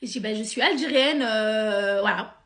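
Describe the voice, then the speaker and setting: A young woman from France telling a sarcastic, animated story straight to her viewers. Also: a little high, moderate pace. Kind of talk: casual storytime monologue.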